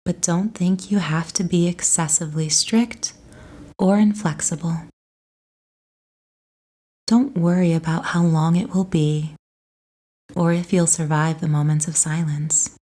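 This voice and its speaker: A woman leads a guided meditation with a mellow, relaxed tone. Her voice sits on the low side, and she reads her script very slowly, with a gentle, soothing delivery.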